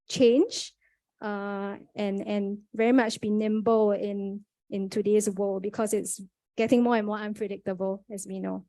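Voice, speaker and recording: A woman's voice: medium pitch, mellow. A young Singaporean woman speaking on a conference panel, earnest, with frequent fillers and restarts.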